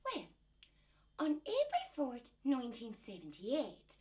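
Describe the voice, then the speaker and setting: A woman's voice: high-pitched, huge pitch swings, takes it slowly, darkish tone, exaggerated voice. A woman voicing a feisty older Irish housekeeper in a put-on Irish accent, folksy and indignant.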